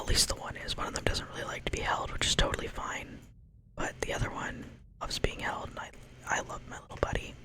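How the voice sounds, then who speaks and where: A woman's voice: high voice, low-quality recording. Young woman whispering a rambling story. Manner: soft whisper, rambling with many asides. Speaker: ASMR storyteller. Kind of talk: whispered ASMR storytime while gaming.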